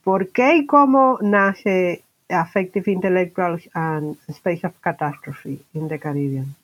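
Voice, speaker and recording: A woman's voice: on the low side, takes it slowly, rough recording. A woman hosting an informal bilingual podcast interview, mixing English words into her Spanish. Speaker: podcast host interviewing a guest.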